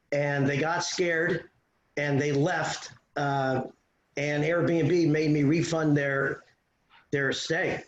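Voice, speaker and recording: Man on a dull roomy call line. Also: a little high. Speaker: caller sharing a story. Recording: roomy, dull, light noise.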